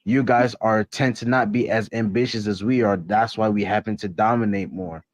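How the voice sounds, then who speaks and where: A man's voice: fairly low pitch, fairly even intonation, moderate pace, no echo. A man hosting a casual group debate, pushy and hurrying others to answer. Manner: pushy, hurries others along. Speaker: discussion host asking questions.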